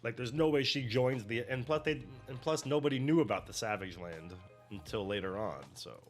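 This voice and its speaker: A man in his forties giving casual, energetic commentary on a show and swearing freely. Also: medium pitch, fairly quick, faint music behind. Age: 40s. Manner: energetic, profane, laughs often. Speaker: reaction video host.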